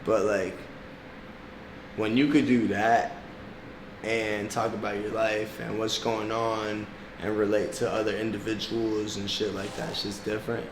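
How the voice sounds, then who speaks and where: A man's voice: on the low side, little pitch movement, a little slow. A young adult man from South Florida whose first language is Portuguese, talking in a laid-back, slangy way and laughing often as a music artist being interviewed. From South Florida, United States. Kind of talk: casual interview chat. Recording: echoey room with background noise.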